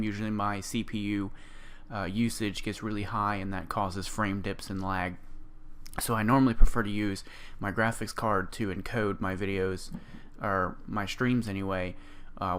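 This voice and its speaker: A man with a hoarse, sore-throated voice, casually walking through his game build and rambling with lots of ums. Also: on the low side, a bit bright. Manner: rambling, full of ums and restarts. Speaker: gaming video creator. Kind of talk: casual gameplay build walkthrough.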